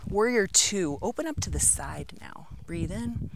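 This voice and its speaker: A middle-aged woman teaching a yoga class, cueing poses and breaths step by step in short, measured phrases. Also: medium pitch, expressive, creaky. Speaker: yoga instructor. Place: outdoors on an open rocky canyon rim.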